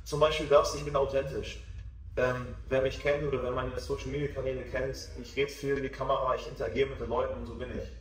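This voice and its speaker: A man in his twenties, a DJ, talking about his music in a relaxed, self-assured way during a casual interview. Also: medium pitch, fast talker, distant mic, slight background noise. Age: 20s. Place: small backstage room with sofa, indoors. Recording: echoey room, voice off-mic.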